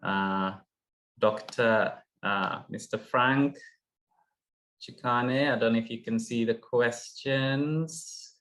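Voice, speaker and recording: Slow, halting middle-aged male moderator. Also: mid-range voice, a bit bright. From Uganda. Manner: hesitant, many fillers and restarts. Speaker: session moderator. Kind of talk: online panel moderation. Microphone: video call.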